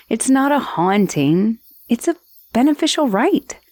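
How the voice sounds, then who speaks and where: A woman's voice: on the high side, sing-song, takes it slowly, darkish tone, dry recording, clean studio sound, heavily acted. Friendly magical severed head, female dullahan, played by an actress, ASMR roleplay. Leisurely pace, casual and a little hesitant. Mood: warm, grateful. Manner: casual, hesitant asides with sighs and murmurs. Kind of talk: ASMR roleplay acting, addressing the listener.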